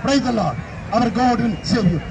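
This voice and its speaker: A man's voice: extremely high pitch, animated, normal speed, creaky. A middle-aged man preaching an emphatic open-air street sermon into a handheld microphone outdoors in a city plaza, repeating his declarations. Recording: crowd and city noise outdoors.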